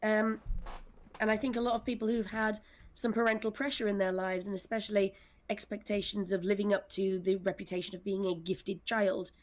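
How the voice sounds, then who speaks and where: A woman's voice: medium pitch, fairly even intonation, brisk. A woman speaking casually in a panel discussion as a writer and director, with a wry, self-deprecating manner. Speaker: audio drama scriptwriter and director.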